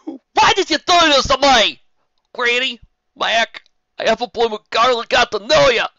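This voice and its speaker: A reader voicing a grieving young farm mare pony who cries out high and loud. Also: animated, measured pace, vocal fry, no room sound, muffled recording, full character voice. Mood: anguished. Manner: crying out in grief, rising to shouts.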